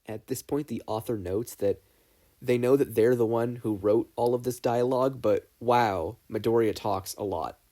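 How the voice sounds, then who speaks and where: A man's voice: medium pitch, fairly even intonation, normal speed, dark tone. A man narrating a story as a reader in a scripted audiobook style. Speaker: podfic narrator.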